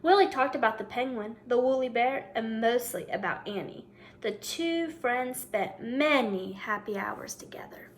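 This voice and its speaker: A woman's voice: a little high, a little slow. A woman reading a picture book aloud to children like a teacher, commenting and prompting them with questions.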